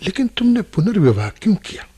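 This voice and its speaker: Concerned, animated male listener. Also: sits high, brisk, slightly rough, full character voice. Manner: concerned, probing questions. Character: sympathetic playwright questioning a troubled woman. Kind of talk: radio play acting.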